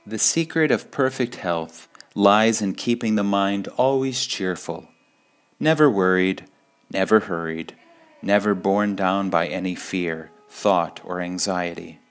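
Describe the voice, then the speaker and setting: A man reading spiritual sayings in a measured, deliberate devotional style, pausing between phrases. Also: low-pitched, smooth. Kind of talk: slow devotional quote reading.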